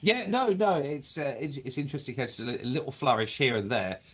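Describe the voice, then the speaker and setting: A man's voice: medium pitch, lively intonation, fast talker, fairly bright tone. A man hosting a casual music interview, who rambles and stammers through his questions with a self-deprecating tone. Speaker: interviewer, show host.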